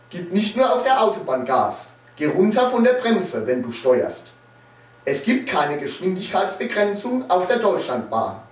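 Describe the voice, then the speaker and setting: Slow, echoey man reciting poetry, high-pitched. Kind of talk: dramatic poetry recitation, satirical. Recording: roomy echo, distant microphone.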